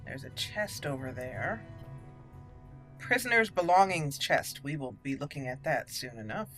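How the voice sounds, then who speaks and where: A woman hosting a roleplay game playthrough, speaking in a deliberate storyteller manner. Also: low-pitched, lively intonation, fairly bright tone. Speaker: gaming channel host. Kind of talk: roleplay game commentary and dramatic lore reading. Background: faint game music.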